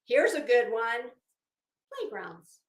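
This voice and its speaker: A woman's voice: fairly high pitch, very animated, takes it slowly. A woman livestreamer talking casually and reading aloud, with a blunt, sardonic, salty-tongued manner. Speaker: livestream host. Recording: echoey room.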